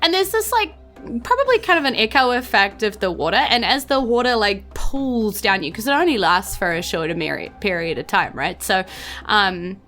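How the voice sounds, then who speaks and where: A woman with a New Zealand accent running a tabletop game, improvising her descriptions in a halting, conversational way. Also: medium pitch, lively intonation, fairly bright tone, soft background music. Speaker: game master of a tabletop game podcast.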